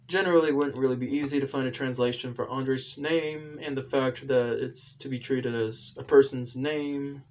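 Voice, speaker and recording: A video creator introducing things casually, in a halting, deliberate and rambling manner. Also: mid-range voice, steady tone, a little hiss or hum.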